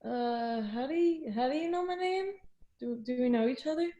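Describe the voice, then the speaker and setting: A woman playing a casual, curious high school girl at a film script table read. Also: moderate pace, warm tone, close to an everyday voice. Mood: puzzled. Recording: dull, lo-fi microphone sound.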